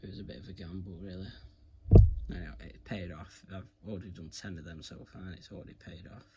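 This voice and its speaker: A man's voice: low-pitched, fairly even intonation, quick pace, very noisy. A British man giving a casual hands-on tutorial in an informal, rambling, repetitive way, recorded on a phone at home. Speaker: video presenter giving a how-to demonstration. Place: indoors at home, carpeted room. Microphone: phone camera filming his hands.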